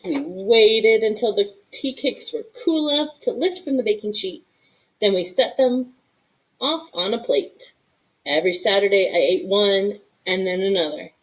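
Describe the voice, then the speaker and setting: A woman reading a children's story aloud in an expressive narration, with asides to young listeners. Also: mid-range voice, unhurried, rough recording. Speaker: storybook reader.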